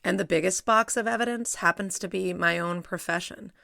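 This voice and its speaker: A middle-aged woman, a psychologist, reading her own personal essay aloud in a measured, reflective, wry way. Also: on the low side, vocal fry, pushed and bright, slight background noise.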